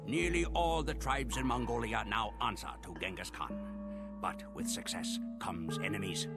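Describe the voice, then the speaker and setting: An actor playing a grave Mongol follower who narrates a conqueror's campaigns in a solemn, measured way for a strategy game. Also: male voice, medium pitch, gravelly. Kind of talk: scripted dramatic narration. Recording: music behind the voice.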